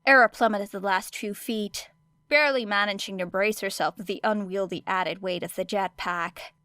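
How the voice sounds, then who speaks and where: A woman's voice: mid-range voice, fairly even intonation, moderate pace, sharp tone, dry recording. Woman narrating fiction, close dry sound. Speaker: audiobook narrator.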